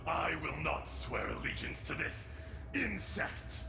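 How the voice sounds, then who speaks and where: An actor playing an ancient, huge and enraged dragon who talks in defiant, bellowing protest. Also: male voice, fairly high pitch, expressive, takes it slowly, vocal fry, over music, exaggerated voice. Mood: wrathful. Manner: defiant, bellowing, full of grievance. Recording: roomy reverb on the voice.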